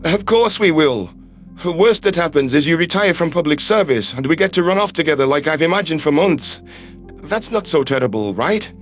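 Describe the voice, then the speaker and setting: Man acting devoted astronaut, fairly high voice. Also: animated, moderate pace, soft background music, lightly acted. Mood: tender, reassuring. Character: devoted, reassuring human male astronaut in love. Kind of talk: audio story character dialogue.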